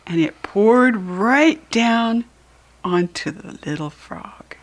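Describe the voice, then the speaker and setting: An American woman narrates a children's picture book with a highly animated, swooping pitch. Her pace is slow and deliberate, as if teaching young readers to follow along. She is expressive and adds small asides for the listener. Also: poor audio. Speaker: storybook reader.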